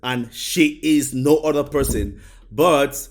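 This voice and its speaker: A man's voice: mid-range voice, slow. A man hosting a studio interview show, talking emphatically with repeated phrases and "you know" asides. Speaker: talk show host and interviewer. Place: indoor studio.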